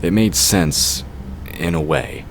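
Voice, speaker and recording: Male fantasy narrator, extremely low voice, scripted storytelling read, noticeable background noise. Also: takes it slowly.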